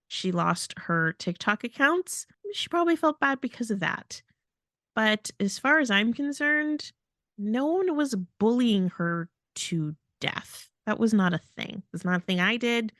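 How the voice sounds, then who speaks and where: A woman's voice: on the low side, gravelly, dry recording, clean studio sound. A woman co-hosting a podcast in casual chat, speaking in an emphatic, plainspoken way. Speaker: podcast co-host.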